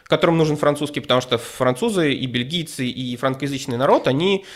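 A man talks through his story as a guest. He hesitates often, fills pauses, restarts phrases and picks his words with care. His pitch sits in a middle range. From Ukraine. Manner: hesitant, with many fillers and restarts, careful wording. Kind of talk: casual conversational storytelling.

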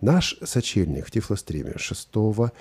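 A man hosting a live studio talk show, lively and joking with his co-host. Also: lowish voice, moderate pace, breathy edge.

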